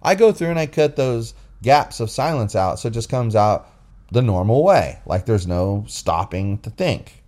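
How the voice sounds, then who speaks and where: A man's voice: fairly low pitch, expressive, normal speed, darkish tone. A man co-hosting a casual, unscripted podcast, explaining things in a rambling way into a phone. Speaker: podcast co-host. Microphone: recorded into a phone app.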